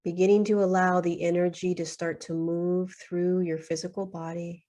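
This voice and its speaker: A woman leading a guided meditation in a soothing, unhurried way, leaving long pauses between short phrases. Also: fairly low pitch, little pitch movement, dark tone.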